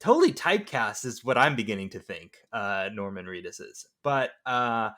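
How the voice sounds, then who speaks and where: A man's voice: lowish voice, sing-song, moderate pace, a bit bright. A man chatting casually about films as a podcast panelist, thinking aloud in a conversational way. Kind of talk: casual film discussion.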